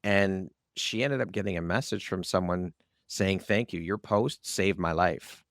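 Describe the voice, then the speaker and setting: A man's voice: sits low, measured pace, fairly bright tone, no echo, clean studio sound. A man hosting a conversational interview podcast, asking questions and sharing his own views. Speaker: podcast host.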